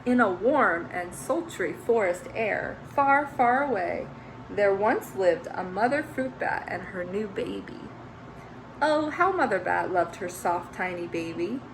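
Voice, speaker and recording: A woman teacher reading a children's picture book aloud, expressive, with chatty asides to young listeners. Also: mid-range voice, unhurried, background noise.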